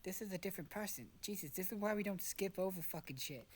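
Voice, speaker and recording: A woman's voice: mid-range voice, quick pace, very noisy. A woman narrating an amateur fan fiction reading casually, swearing and breaking off into exasperated asides.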